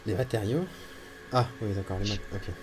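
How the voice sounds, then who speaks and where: A man's voice: on the low side, fairly even intonation, normal speed, darkish tone, slight background noise. A man running a tabletop role-playing game as game master, often hesitating and repeating words while he thinks.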